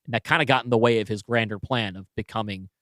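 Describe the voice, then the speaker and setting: A man co-hosting a podcast, brainstorming casually and thinking aloud in halting, self-correcting bursts. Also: fairly low pitch, fairly even intonation, fairly bright tone, dry recording, studio quality. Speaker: podcast co-host and writer.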